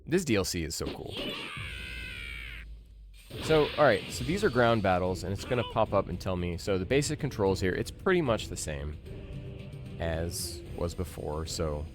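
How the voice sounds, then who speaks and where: A man's voice: low voice, very animated, normal speed, pauses often. A man in his thirties giving casual, rambling, opinionated commentary as he streams a video game. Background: video game music and sound.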